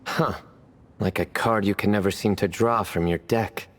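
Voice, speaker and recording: A man playing a composed, wry, deadpan character in a video game. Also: lowish voice, normal speed, a little hiss or hum, natural voice.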